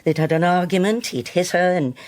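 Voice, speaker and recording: An actress playing a grave, devout older Scottish widow in a radio drama, speaking solemnly and haltingly. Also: female voice, on the low side, darkish tone, lightly acted. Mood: anguished, resolute. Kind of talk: naturalistic radio drama acting.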